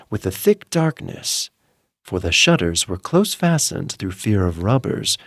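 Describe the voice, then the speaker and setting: A man reads a tale in a slow, intense, confiding voice, his low-set pitch swinging widely between hushed lines and sudden rises. The sound is close and dry, with no room around it. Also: no room sound. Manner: intense, confiding first-person telling with hushed drawn-out words. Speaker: narrator. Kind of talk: scripted dramatic reading of a classic tale.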